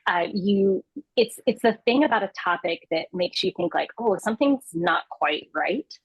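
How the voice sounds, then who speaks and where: A woman's voice: mid-range voice, animated, moderate pace, husky, no echo, poor audio. A woman in her thirties, a guest in an interview, talking with frequent fillers and restarts. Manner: hesitant restarts, many fillers. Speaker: guest, customer insights lead. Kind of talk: interview conversation.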